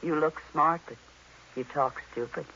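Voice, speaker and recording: An actress playing a cool, tough, sardonic femme fatale in an old-time radio noir drama. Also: female voice, low voice, unhurried, sharp tone, exaggerated voice. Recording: hissy, dull vintage radio recording.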